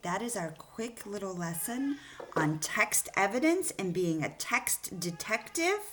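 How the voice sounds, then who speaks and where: A woman's voice: mid-range voice, fairly bright tone. A woman speaking as an elementary school teacher, reading aloud and explaining a lesson to young children, addressing them directly with questions.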